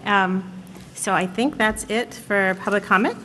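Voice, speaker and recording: Brisk woman chairing a board meeting. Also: medium pitch, fairly bright tone. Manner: brisk, procedural. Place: town meeting room. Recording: reverberant meeting room with faint noise.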